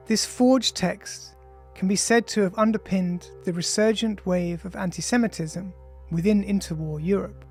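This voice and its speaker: An author reading their own nonfiction audiobook in a measured, formal, deliberate way. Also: mid-range voice, steady tone, a little mellow, slightly rough, faint music behind. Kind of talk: scripted audiobook narration of an essay.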